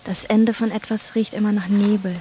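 An actress voicing the quiet, brooding inner monologue of an obsessive young hotel maid in a radio drama. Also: female voice, medium pitch, level tone, normal speed, a little hiss or hum, natural voice. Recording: narration over loud music. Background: loud music.

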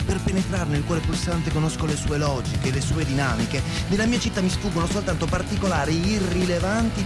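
Satirical radio play: male actor as sneering, boastful bourgeois man, high register, declamatory, music clearly underneath. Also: close to an everyday voice. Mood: smug. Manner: sarcastic, declamatory, piling up lists. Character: smug, xenophobic, well-off city man, sneering and boastful. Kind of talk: satirical radio theatre monologue.

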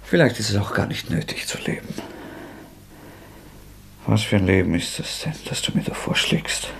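An actor playing a tormented, defiant young man confessing to murder in an agitated, anguished German radio drama performance, with a husky voice that often breaks. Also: lowish voice, very animated, in a whisper, full character voice. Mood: bitter, defiant. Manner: agitated, anguished, halting outbursts.

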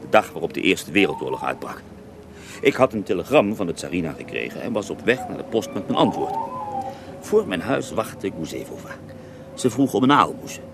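Deep-voiced theatrical holy man. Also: sing-song, a little fast. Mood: dramatic recounting. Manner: theatrical, savouring storyteller. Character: earthy Russian holy man recounting his own attempted murder. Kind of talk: radio drama acting in interview form. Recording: noisy older radio drama recording.

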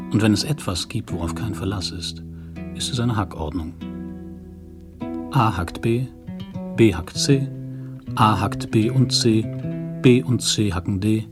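Low-voiced, world-weary crime hero. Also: male voice. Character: world-weary, musing, cynical male crime-drama hero. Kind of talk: radio crime drama monologue.

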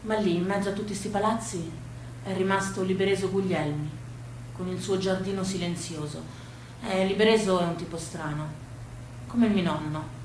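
A woman's voice: lowish voice, fairly even intonation, normal speed, a little mellow. Woman storyteller in an echoey room. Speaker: stage storyteller. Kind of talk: theatrical monologue, storytelling. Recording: echoey room with faint background noise.

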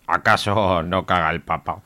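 An actor playing an unflappable, crude, money-minded surgeon in a comic radio serial. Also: male voice, low voice, steady tone, normal speed, sharp tone. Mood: nonchalant.

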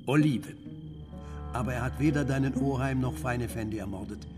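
A man acts an aged master miniaturist in a literary radio play. He speaks in a mid-pitched voice, solemn and devout, with measured phrases that sink toward a whisper. Music plays behind him. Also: natural voice. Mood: brooding, assertive. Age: older. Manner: measured, dropping into near whispers. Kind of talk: literary radio drama, first-person narration and dialogue.

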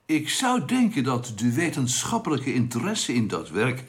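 Animated, easygoing colleague. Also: male voice, medium pitch, moderate pace. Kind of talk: radio drama acting.

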